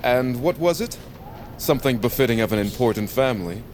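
Man acting gruff, animated mine foreman. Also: medium pitch, fairly quick, natural voice. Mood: mocking, envious. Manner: brusque, sardonic, commanding. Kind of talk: fantasy audio drama acting.

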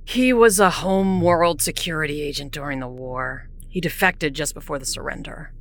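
A woman's voice: deep voice, normal speed. A woman playing a weary, firm starship captain in a science fiction audio drama, terse and commanding.

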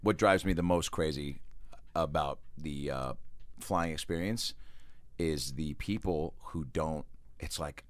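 A man with a deep voice co-hosting a casual chat, joking and teasing. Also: slow pace, dry recording. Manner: jokey, teasing, does silly put-on voices. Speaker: podcast co-host. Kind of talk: casual banter.

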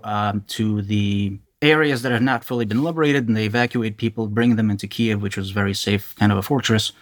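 Male reporter as interviewee, lowish pitch, candid eyewitness storytelling with a halting delivery. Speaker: journalist being interviewed. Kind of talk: candid first-person interview account.

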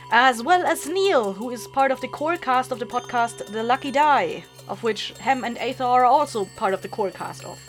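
Higher-pitched woman podcaster, chatty and upbeat. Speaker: podcast creator and host. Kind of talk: casual scripted announcement to listeners.

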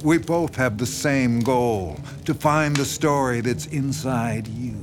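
An actor playing a sinister, obsessive horror writer with a coaxing, intense, condescending manner in a radio drama. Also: male voice, mid-range voice, takes it slowly, background noise, close to an everyday voice. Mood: smug, persuasive. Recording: dramatic music behind the voice.

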